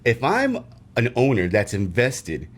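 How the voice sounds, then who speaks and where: A man co-hosting a casual sports podcast, rambling through opinions with many fillers and restarts, growing emphatic when excited. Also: mid-range voice, a little slow. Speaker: podcast co-host.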